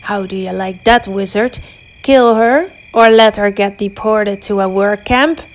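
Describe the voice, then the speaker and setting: A woman voicing a cruel male werewolf who snarls loud threats at a wizard. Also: mid-range voice, steady tone, measured pace, lightly acted. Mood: taunting.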